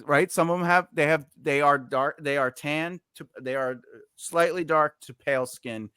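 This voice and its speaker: A man's voice: a little high, fairly even intonation, normal speed, pushed and bright, clear, resonant tone, dry recording, clean studio sound. A man hosting a casual podcast chat, rambling with lots of fillers and restarts. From United States. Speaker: podcast host.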